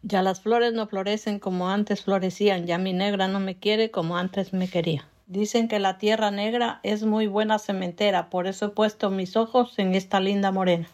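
A woman's voice: medium pitch, fairly even intonation, normal speed, hardly any pauses, darkish tone. A woman reciting traditional rhymed folk couplets in a sing-song, teasing way.

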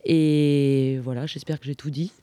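A woman's voice: low voice, flat delivery, measured pace, warm tone, clean tone, no room sound. A woman moderating a public talk and introducing the guest, hesitant with many fillers. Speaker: event moderator.

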